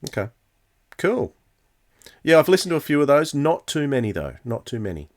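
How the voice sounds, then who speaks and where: A man's voice: fairly high pitch, big pitch swings, normal speed, darkish tone. A man chatting casually as a podcast host. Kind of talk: casual chat.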